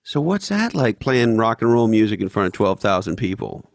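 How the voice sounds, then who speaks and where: A man's voice: lowish voice, big pitch swings, moderate pace, gravelly, no room sound, studio quality. A man hosting a podcast, teasing his guest and swearing casually. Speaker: podcast host.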